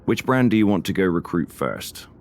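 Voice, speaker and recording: A man playing a composed, diplomatic wrestling general manager with a measured, formal manner in a video game cutscene. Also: deep voice, sing-song. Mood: advising.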